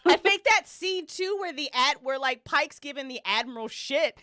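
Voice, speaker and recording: A woman's voice: sits high, lively intonation, moderate pace, bright, forceful tone, no echo, studio quality. A woman on a podcast panel, chatting casually and opinionatedly as a fan, with emphatic, slangy, swear-heavy delivery.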